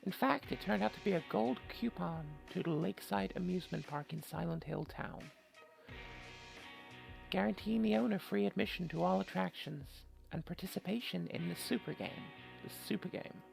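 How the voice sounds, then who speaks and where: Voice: mid-range voice, normal speed, gravelly, faint music behind, low-quality recording. Someone reading a visual novel aloud and breaking in with sarcastic, sweary commentary. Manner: sarcastic, sweary, mocking interjections. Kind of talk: reading game text with joking commentary.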